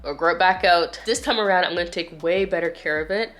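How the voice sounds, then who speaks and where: A woman's voice: on the low side, quick pace, a bit bright, faint music behind. A young woman narrating a hair vlog in a chatty, explaining way, a bit nasal from a cold. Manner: chatty, explanatory, addresses viewers as you guys. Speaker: beauty vlogger. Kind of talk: casual vlog voice-over.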